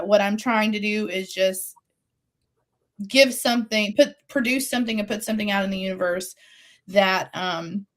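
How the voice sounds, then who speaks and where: A woman in her forties, a singer-songwriter talking earnestly and reflectively in an interview, her voice still recovering after a loss. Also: medium pitch. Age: 40s. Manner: earnest, faith-driven, with laughs and restarts.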